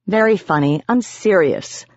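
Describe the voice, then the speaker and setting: A woman's voice: lowish voice, animated, measured pace, no room sound, muffled recording. A woman voicing a stubborn, worried young witch who is impulsive and protective, in a fantasy audiobook. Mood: weary, irritated.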